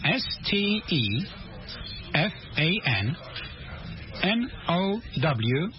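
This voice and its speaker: An actor playing a polite student who speaks English carefully and haltingly in a language-lesson drama. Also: male voice, a little high, big pitch swings, exaggerated voice. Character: polite Polish student, learning English, eager and uncertain. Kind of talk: slow, simple scripted language-lesson drama. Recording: muffled lo-fi lesson audio, music behind.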